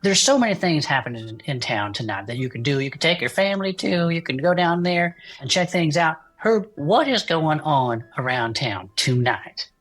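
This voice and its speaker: Woman acting as a folksy gnome podcast host, low husky voice, sentimental, effusive, swooping intonation, music behind. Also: animated. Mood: folksy, excited. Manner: folksy, effusive, drawn-out delivery. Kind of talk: improvised comedic fiction podcast.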